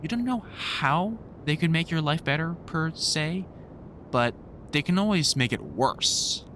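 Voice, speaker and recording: A man's voice: on the high side, expressive, a little slow, fairly bright tone, slight background noise. A man playing a wry, grumpily fond vampire hunter in a conversational audio roleplay, teasing and swearing through rambling explanations. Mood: explaining, wry.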